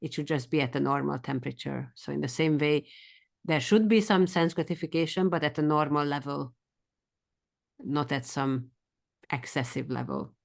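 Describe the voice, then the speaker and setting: Woman, Hungarian accent, low voice, eager, class teacher. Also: creaky. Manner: quick and eager, sometimes runs fast. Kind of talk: scripture study class.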